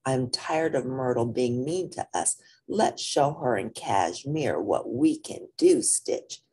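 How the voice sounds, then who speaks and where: Earnest young girl played by a woman, encouraging, loyal, storybook heroine, lively pitch movement. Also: slow, husky, full character voice. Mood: determined.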